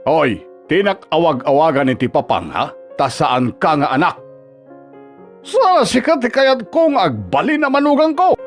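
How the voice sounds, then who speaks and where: A high, wildly swooping voice scolds with contempt: an overbearing older Filipino father-in-law in a radio drama. He badgers and belittles whoever he addresses, with music playing underneath. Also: very animated, measured pace. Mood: indignant. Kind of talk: Ilocano radio drama acting.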